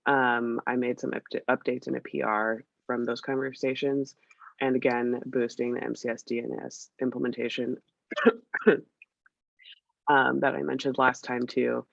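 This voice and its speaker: A woman with a cold talks through a work update on a video call, her voice scratchy and gravelly. She hesitates often and fills pauses as she goes. The call audio is dull and dry. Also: extremely low pitch, flat delivery, no room sound. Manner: chatty, hesitant, many fillers. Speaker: meeting presenter. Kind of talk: casual work meeting update.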